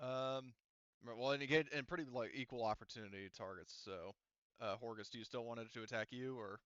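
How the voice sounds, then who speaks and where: A man narrating a tabletop roleplaying game as game master in a casual, halting way, thinking aloud as he goes. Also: medium pitch, a bit bright, clear, resonant tone, no echo, highs cut off.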